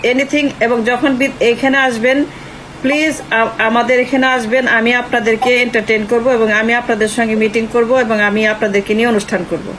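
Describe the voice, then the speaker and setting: A woman's voice: a little high, flat delivery, normal speed, fairly bright tone. An older woman with a Bangladeshi accent talks earnestly and emphatically on a phone call, repeating herself and dropping in English phrases. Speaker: writer and activist on a phone call. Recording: noisy phone call recording.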